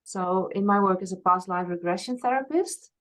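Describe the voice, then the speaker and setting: A woman's voice: medium pitch, normal speed. A woman with a Dutch accent, speaking in an ordinary middle register. She talks earnestly and reflectively, with a self-deprecating touch, as an interview guest. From Friesland, Netherlands. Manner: earnest, open, laughs at herself. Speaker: guest, past life regression therapist.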